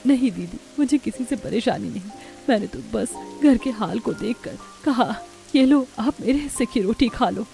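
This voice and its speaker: A woman voicing a gentle, self-sacrificing daughter-in-law from a poor village in a cartoon. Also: on the high side, expressive, moderate pace, creaky, soft background music. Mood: conciliatory.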